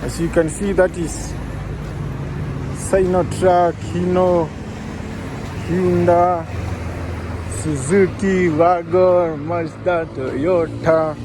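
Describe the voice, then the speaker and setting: A man's voice: high-pitched, fairly even intonation, very slow, long pauses. A young Kenyan man with a Kenyan accent, chatting casually and rambling a bit as he vlogs outdoors in the city. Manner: chatty, rambling, self-correcting. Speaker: vlogger. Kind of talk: casual walking vlog. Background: traffic noise.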